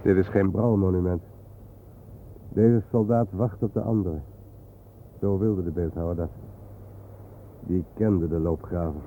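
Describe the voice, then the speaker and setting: An actor playing a solemn, reflective male guide to war memorials in a radio play, explaining things gravely. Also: sits low, steady tone, a little slow, long pauses, narrow, tinny audio. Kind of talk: naturalistic radio play acting. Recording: thin, noisy old radio recording.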